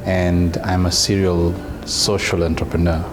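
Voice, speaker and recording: A man, telling his story in a halting, reflective way. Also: deep voice, flat delivery. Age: 30s. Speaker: interviewee, social entrepreneur. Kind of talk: personal profile interview.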